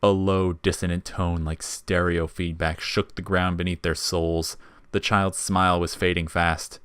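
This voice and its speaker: A man's voice: low voice, little pitch movement, unhurried, a bit bright, smooth, dry recording, studio quality. A man reading scripted narration for an audio fiction story.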